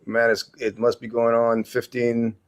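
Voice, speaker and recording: A man in his forties from Guyana, a historian answering interview questions in a halting way, with many fillers and restarts. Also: mid-range voice, completely flat delivery. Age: 40s. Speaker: guest historian.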